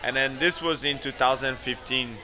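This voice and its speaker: A man's voice: mid-range voice, moderate pace. A man in his thirties from Italy with an Italian accent, a restaurant owner telling stories in a casual interview and acting out the people he quotes. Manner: animated, laughs often, acts out quoted voices. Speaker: guest, restaurateur. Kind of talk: casual storytelling.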